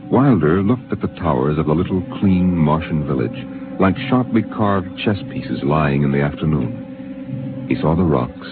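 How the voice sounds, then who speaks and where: A man narrating a radio drama in a measured, deliberate storytelling manner. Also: very deep voice, animated. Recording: tinny 1950s radio transcription with music.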